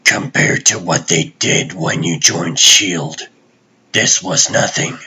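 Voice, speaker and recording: A man voicing a gruff, half-crazy vigilante superhero in a comic dub, terse and deadpan. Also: low voice, takes it slowly, voice pushed down, full character voice. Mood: low, apologetic.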